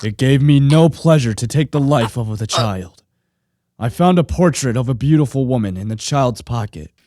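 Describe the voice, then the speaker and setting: A man's voice: medium pitch, lively intonation, normal speed, warm tone, no room sound, studio quality, close to an everyday voice. A man acting a lonely, vengeful monster in a radio play, speaking in a grave, formal, aggrieved way. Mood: brooding, bitter. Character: lonely, vengeful male monster created by a scientist. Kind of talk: radio play narration and dialogue.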